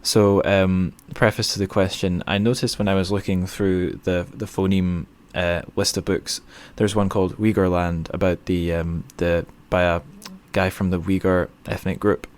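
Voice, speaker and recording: A man's voice: deep voice, flat delivery, normal speed. A man hosting a podcast interview, asking casual questions in a tentative way and often correcting himself as he goes.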